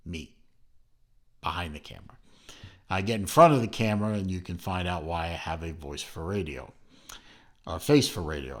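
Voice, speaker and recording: A man's voice: low-pitched, darkish tone. A man hosting his own channel, reviewing a watch in a rambling, casual way with lots of fillers and restarts, filming at home from behind the camera.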